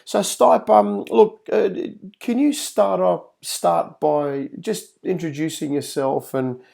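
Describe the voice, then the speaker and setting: A male podcast host talks in a relaxed, rambling way over a video call, full of fillers and restarts. His voice has a gravelly edge and a mellow, dark tone. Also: high voice, takes it slowly. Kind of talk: casual chat.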